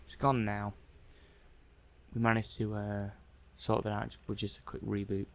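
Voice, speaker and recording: A man's voice: on the low side, monotone, a little slow, vocal fry. A man playing a mild, methodical robot-testing technician in an ASMR roleplay, muttering hesitantly and matter-of-factly to himself. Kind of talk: soft-paced ASMR roleplay monologue.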